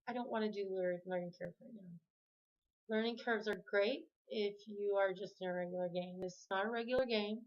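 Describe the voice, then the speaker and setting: A chatty woman talks to camera from her home, wandering through her thoughts and stopping to fix her words mid-sentence. Her voice sits at an ordinary middle pitch. The sound is dull and lo-fi, like a basic home video. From Canada. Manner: rambling, self-correcting, chatty. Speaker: vlogger. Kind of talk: casual talk to camera. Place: home room with the TV on.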